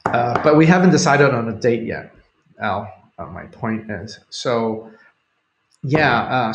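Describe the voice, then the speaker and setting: A man, a Cantonese speaker, chatting casually and hesitantly as he hosts a livestream, rambling and thinking aloud. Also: mid-range voice. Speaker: livestream host. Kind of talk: casual live chat with viewers. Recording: roomy, echoing home recording.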